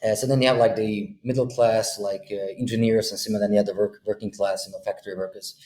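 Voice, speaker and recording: A man's voice: on the low side, little pitch movement, fast, a little mellow. A man in his thirties from Bosnia, a podcast guest on a video call, explaining ideas with many fillers and restarts. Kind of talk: thoughtful conversational explanation. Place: room with a window. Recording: roomy video call audio with faint hum.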